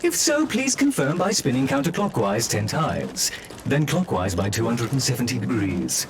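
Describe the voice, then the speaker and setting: A man's voice: mid-range voice, sing-song, normal speed, a crowd in the background. A man voicing an eager, officious robot tour guide in a video game, with formal, sing-song announcer phrasing. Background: game background music.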